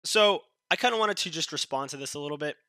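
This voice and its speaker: A man's voice: fairly high pitch, expressive, fast talker, pushed and bright, dry recording, clean studio sound. A young man with a stuffy, nasal voice from a cold, giving blunt, dismissive commentary as a channel host, often restarting his sentences. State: sick, nasal voice. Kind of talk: casual political commentary.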